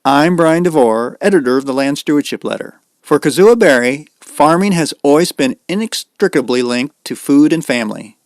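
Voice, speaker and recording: A man's voice: fairly high pitch, animated, normal speed, few pauses, dry recording, studio quality. A man with an American accent reading scripted narration as a podcast host.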